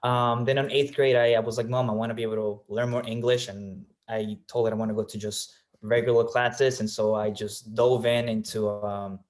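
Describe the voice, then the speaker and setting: A man's voice: on the low side, little pitch movement, normal speed, hardly any pauses. A young man from Colombia answering interview questions in a thoughtful way, with many fillers and restarts. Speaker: guest, real estate agent.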